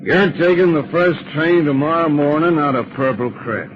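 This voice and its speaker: An actor playing a threatening small-town American hoodlum, blunt, slangy and sneering, in 1940s radio drama style. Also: male voice, fairly high pitch, moderate pace, natural voice. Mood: menacing. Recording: dull old radio recording, clear noise.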